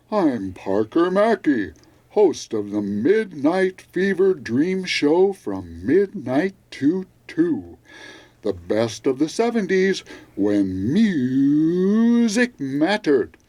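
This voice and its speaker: A man's voice: a little high, big pitch swings, slow pace, dark tone, exaggerated voice. A man playing a laid-back, teasing late-night seventies music DJ with a jokey, self-satisfied manner. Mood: breezy, welcoming.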